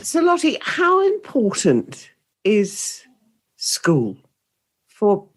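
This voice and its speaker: A woman hosting an interview, asking questions in a measured way. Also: medium pitch, huge pitch swings, breathy edge. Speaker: host. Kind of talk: radio-style interview.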